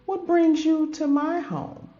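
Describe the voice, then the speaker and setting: A woman voicing a kind, generous woman cook in a children's storybook, gentle and expressive. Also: high-pitched, slow, pitched above the performer's own voice. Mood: welcoming. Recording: dull lo-fi audio with music behind.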